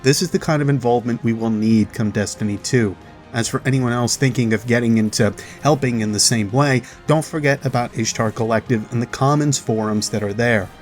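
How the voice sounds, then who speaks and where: A man hosting a video, giving chatty, explanatory commentary as a voice-over about game lore. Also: medium pitch. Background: music.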